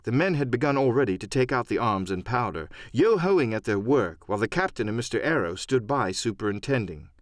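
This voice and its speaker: A man narrates an adventure audiobook from a script. His medium-pitched voice moves with lively inflection and is recorded close and dry. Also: no room sound.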